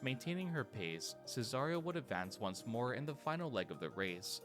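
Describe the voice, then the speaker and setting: A man reading scripted documentary narration in a steady storytelling manner, over soft music. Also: mid-range voice, normal speed.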